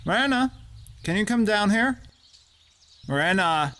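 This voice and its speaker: A man's voice: high-pitched, animated, measured pace, bright, forceful tone, full character voice. A man playing a smug, scheming boss in a drama, mocking and politely menacing in his delivery. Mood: stern. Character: smug, scheming male boss who manipulates and blackmails. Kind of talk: acted dramatic dialogue.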